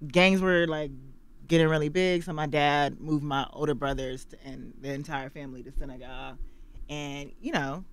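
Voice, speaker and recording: A woman from Los Angeles, a guest on a radio interview, telling her story in a candid, animated way. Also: low-pitched, moderate pace, smooth. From Los Angeles, USA.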